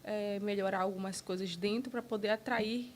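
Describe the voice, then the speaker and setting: Woman from Brazil, podcast guest and entrepreneur; earnest, halting delivery, mid-pitched, faint rumble behind. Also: steady tone. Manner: earnest, talks in short bursts with restarts. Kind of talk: casual interview conversation.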